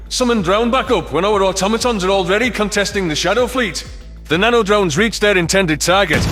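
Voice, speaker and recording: High-pitched male sci-fi audiobook narrator. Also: fairly bright tone. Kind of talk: scripted first-person science fiction narration.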